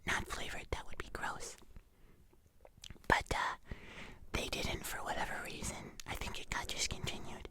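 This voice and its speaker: An ASMR host giving a casual food review in a breathy whisper, often pausing to correct themselves. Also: sharp tone.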